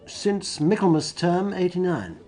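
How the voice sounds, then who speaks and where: Prim headmaster, sounds a bit off-mic, faint hiss. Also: male voice, high-pitched, very animated, a little slow, mellow. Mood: measured. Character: smooth, slightly defensive male school headmaster.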